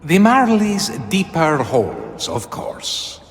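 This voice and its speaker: An actor playing a sly, theatrical jester spirit who teases with courtly, drawn-out phrasing. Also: male voice, a little high, wildly swooping pitch, gravelly, heavily acted. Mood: amused, smug. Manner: teasing, drawn-out, swooping delivery.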